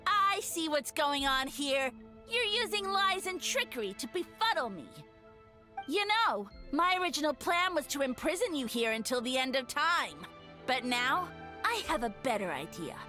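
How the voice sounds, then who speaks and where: A woman's voice: high-pitched, sing-song, normal speed, pushed and bright, faint music behind, full character voice. A woman voicing a small, pompous mushroom god villain in a video game, haughty and theatrical in formal, grandiose speech. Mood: indignant, gloating. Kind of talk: scripted video game character acting.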